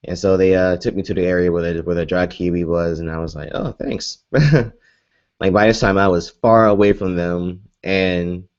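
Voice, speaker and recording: Man, deep low voice, young adult, from the US, casual rambling vlog chat, muffled lo-fi audio. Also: fairly even intonation, normal speed, a little mellow. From United States. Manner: rambling, many fillers and restarts, laughs often. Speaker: vlogger.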